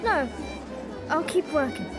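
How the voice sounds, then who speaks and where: Tiny, high-voiced workhouse girl. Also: a little slow, over music. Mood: pleading, scared. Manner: pleading, childlike, excitable. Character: frightened, hungry little workhouse girl. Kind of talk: children's audio drama acting.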